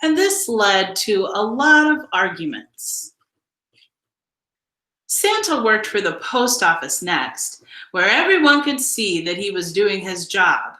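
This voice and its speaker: A woman reading a children's picture book aloud in an expressive, deliberate storytelling style. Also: medium pitch, roomy sound. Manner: measured, deliberate storytelling delivery with emphasized phrases. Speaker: storybook reader.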